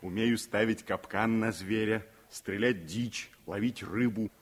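An actor playing a friendly student tutor in a Soviet radio play, courteous and playful with children, with a husky voice. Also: male voice, on the low side, a little slow. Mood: reflective, friendly. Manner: courteous, animated.

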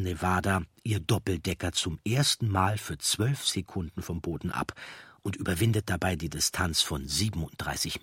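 A man narrating a history audiobook in a measured, factual reading style. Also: on the low side, slightly rough, dry recording, studio quality. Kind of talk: scripted documentary narration.

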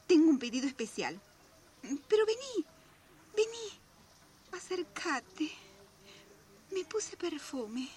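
An actress playing a playful, amorous and wistful widow in a radio drama, sighing and coaxing flirtatiously. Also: female voice, high-pitched. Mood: coquettish, coaxing.

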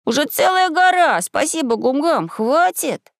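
A woman voicing an earnest, curious and bold seven-year-old boy in a storybook, asking clear, insistent questions. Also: high-pitched, big pitch swings, measured pace, no echo, clean studio sound, voice pushed up, exaggerated voice. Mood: excited protest.

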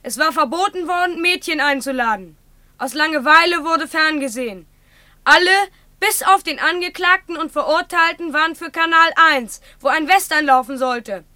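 Stern child court clerk for radio drama: high, sharp voice, pompous, dry sound. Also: female voice, normal speed, no room sound. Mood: officious, stern. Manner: officious, formal recitation. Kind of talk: German radio play acting, formal reading.

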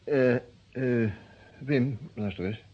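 An actor playing an uneasy, courteous lawyer in a radio play, speaking haltingly on a muffled old recording. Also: male voice, mid-range voice, husky. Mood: hesitant. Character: uneasy lawyer, the inspector's close friend.

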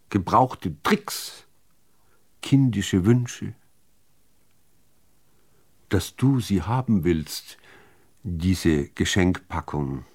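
A man reciting literary poetry in a measured, deliberate manner with dramatic pauses between phrases. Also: lowish voice, darkish tone, breathy edge. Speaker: reader of poetry.

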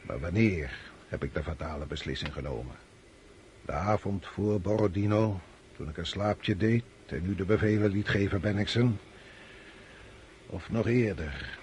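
An actor in a Dutch radio drama playing a weary, brooding old Russian field marshal, speaking with deliberate authority. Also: male voice, low voice, darkish tone, slight background noise, close to an everyday voice. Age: older.

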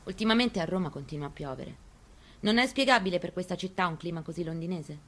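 A woman acting a bitter grieving mother, lowish voice. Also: fast talker, fairly bright tone. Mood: brooding. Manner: rhetorical questions, pointed, conversational storytelling. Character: grieving elderly Italian mother, bitter, defiant, searching for answers. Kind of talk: dramatic stage reading of a memoir, monologue.

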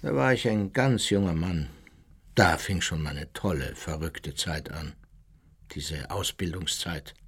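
An actor playing an older, unrepentant ex-Nazi telling self-satisfied anecdotes in a radio drama, with a husky voice. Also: male voice, sits low, takes it slowly, full character voice. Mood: nostalgic. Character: older German ex-Nazi reminiscing with unrepentant relish. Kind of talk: radio drama monologue, storytelling.

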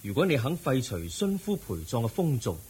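An actor playing the Buddha as a serene, compassionate teacher in a radio drama, speaking measured, earnest Cantonese with a Hong Kong accent. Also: male voice, medium pitch, sing-song, darkish tone, husky. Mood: solemn, earnest.

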